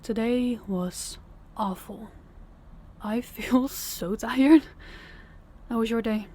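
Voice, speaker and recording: A young woman voicing a tired, tender working girlfriend in an audio roleplay, speaking softly and intimately, often close to a whisper. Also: fairly high pitch, takes it slowly. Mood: tired, affectionate. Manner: soft-spoken, intimate, often near a whisper. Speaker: voice actor.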